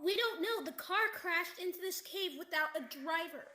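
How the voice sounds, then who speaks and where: A woman's voice: very high-pitched, moderate pace. A teenage woman acting an anxious, sceptical survivor in a radio theatre play. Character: anxious, sceptical trapped survivor, quick to blame. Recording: echoey room, faint noise.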